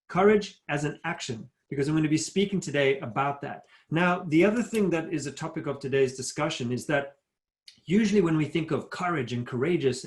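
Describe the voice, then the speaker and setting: Middle-aged man, guest speaker on a video call, earnest and emphatic, slightly higher pitch. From South Africa. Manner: earnest, emphatic, builds points in lists. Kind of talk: motivational talk at a virtual town hall.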